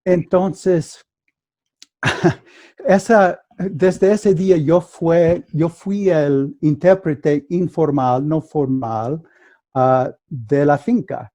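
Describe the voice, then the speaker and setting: A man whose first language is English, speaking Spanish with an American accent as a guest mediator, telling a story carefully with halting restarts over a video call. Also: on the high side, mellow. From United States. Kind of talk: conversational storytelling.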